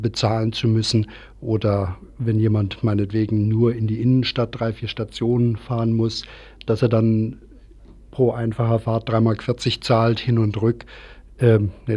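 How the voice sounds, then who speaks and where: A man gives explanatory commentary as a radio guest in a dark, fairly monotone voice. His sentences wind on haltingly with self-corrections, and the studio recording is a little muffled. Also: medium pitch. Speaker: studio guest, transport association representative.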